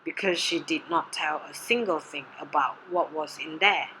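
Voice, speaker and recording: A woman whose first language is Mandarin, reading her art research presentation in a measured, deliberate way. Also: deep voice, sharp tone, a little hiss or hum, rough recording. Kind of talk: read-aloud research presentation.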